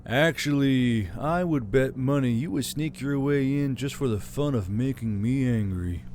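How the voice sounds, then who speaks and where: A man voicing a gruff, weary male military commander who grumbles dryly. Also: mid-range voice, unhurried, a little mellow, a little hiss or hum, lightly acted.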